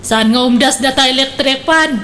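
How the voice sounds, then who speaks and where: Over faint noise, a nagging wife in a radio comedy. Her fairly high voice complains and needles with envious discontent. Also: normal speed, fairly bright tone. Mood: discontented, needling. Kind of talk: comedic radio drama acting.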